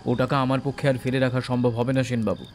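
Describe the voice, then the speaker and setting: An actor as a cold, curt male heir with a medium-pitched voice, laying down terms firmly in radio drama style. Faint noise and ambience sit behind him. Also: level tone, quick pace, a little mellow, natural voice. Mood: stern, demanding. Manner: firm, cold, demanding. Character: stern, curt businessman's son demanding repayment. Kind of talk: audio drama acting.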